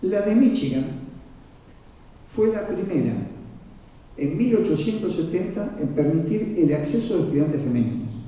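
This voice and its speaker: A man's voice: sits high, expressive, moderate pace, long pauses, mellow. A man giving a formal history lecture, didactic, reading from notes with asides and checking in with his listeners. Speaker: lecturer at a conference. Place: large reverberant conference room. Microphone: distant microphone. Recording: very echoey hall, muffled, far from mic.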